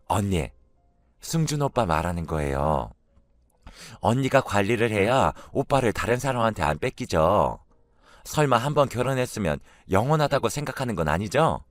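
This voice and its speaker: A man's voice: lowish voice, sing-song, normal speed, no room sound. A man as a sly, taunting young woman. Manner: mocking, provocative. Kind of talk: dramatised story dialogue.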